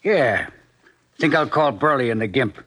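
An actor playing a wry, scheming bookie boss with a husky voice in an old-time radio crime drama. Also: male voice, medium pitch, expressive, unhurried, a bit bright. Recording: old radio show recording.

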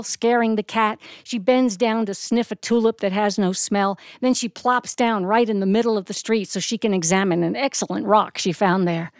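Woman, live storyteller, expressive, deliberate phrasing, dramatic pauses, dry sound. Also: mid-range voice, no echo. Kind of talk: polished live storytelling to an audience.

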